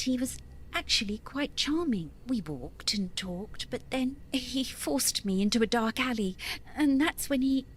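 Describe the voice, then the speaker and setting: A woman plays a young lady witness in an audio drama. Her voice is fairly high, polite and halting, over faint background noise. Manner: hesitant, halting testimony. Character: composed young lady recounting her attack, politely guarded.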